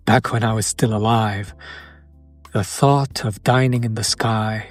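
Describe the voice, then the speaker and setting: A man acts out a noble vampire suitor with a lowish voice. He speaks slowly and formally, with antique courtesy and smitten attentiveness. It is an intimate romantic audio roleplay with music underneath. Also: steady tone. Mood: genteel, admiring. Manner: formal, archaic phrasing with tag questions. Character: courtly, charming old-world male vampire, smitten and attentive.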